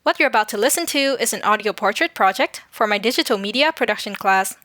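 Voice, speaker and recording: A woman's voice: mid-range voice, animated, fast talker, bright, forceful tone, no room sound. A young woman, a student producer, introducing her project from a script. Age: young adult.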